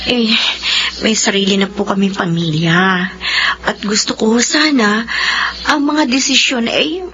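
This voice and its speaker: An actress playing an aggrieved, long-suffering wife in a Filipino radio drama, earnest and pleading with a strained edge. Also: female voice, a little high, measured pace, highs cut off, background noise, close to an everyday voice. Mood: respectful, hesitant.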